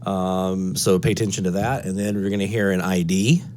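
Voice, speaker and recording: Low-pitched male tutorial presenter, casual, reasoning aloud step by step, slight hum and noise behind. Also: fairly even intonation, moderate pace, darkish tone. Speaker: presenter of a radio DXing tutorial. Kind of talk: casual screen-walkthrough tutorial.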